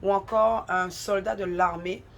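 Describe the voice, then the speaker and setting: A woman's voice: mid-range voice, fairly even intonation, measured pace, slight background noise. Woman with a head cold, exhorting. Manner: earnest, exhortative, repeats for emphasis. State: has a head cold, runny nose. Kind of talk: devotional encouragement talk.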